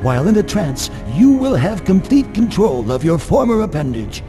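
An actor playing a fussy, bossy scholar of ancient lore in a video game, theatrical and scolding. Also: male voice, high-pitched, big pitch swings, normal speed, long reverb tail. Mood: solemn instruction. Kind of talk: video game cutscene acting. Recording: music behind the voice.

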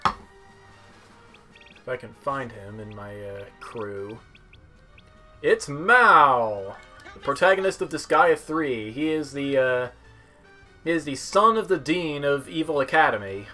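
A young man talks through a video game demo in a casual, offhand way. His voice sits at an average male pitch, and his delivery is a bit halting. Game music plays clearly behind him. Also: expressive, bright, forceful tone. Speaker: gamer demoing video game characters. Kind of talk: casual gameplay commentary. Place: indoor room at home, seated.

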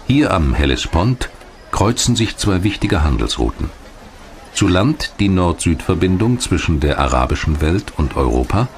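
A man narrating a scripted history documentary in a measured, articulate storytelling manner. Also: low voice, slight background noise. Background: music.

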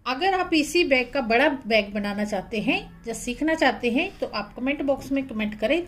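A woman's voice: a little high, slight background noise. A woman hosting a craft tutorial, narrating step by step in a plain, instructional manner.

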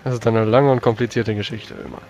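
A man's voice: fairly low pitch, fairly even intonation, fast talker, close to an everyday voice. A man playing a dry, world-weary warrior of a religious order in a tabletop roleplay, speaking bluntly. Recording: music behind.

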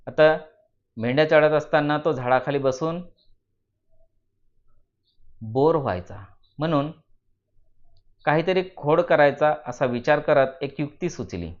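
A man narrating a moral story for students in deliberate phrases with dramatic emphasis. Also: on the high side, expressive, muffled recording.

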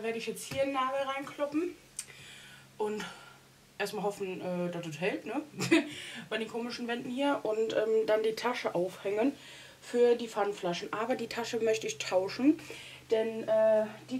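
A woman's voice: a little high, normal speed, darkish tone, slight background noise. A young woman vlogging as she tidies a small storage room at home, explaining each step in a chatty way and laughing often, recorded by a wide-angle camera on a stand. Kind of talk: casual clean-with-me narration.

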